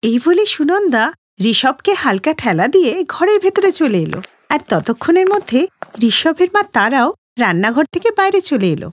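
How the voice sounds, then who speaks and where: A woman's voice: sits high, very animated, moderate pace. A woman narrating a scripted moral story for children as the storyteller.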